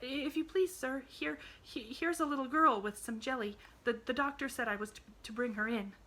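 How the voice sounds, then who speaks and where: A woman voicing a frightened, deferential household maid in a storybook, halting and stammering. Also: sits high, a bit bright, voice pushed up.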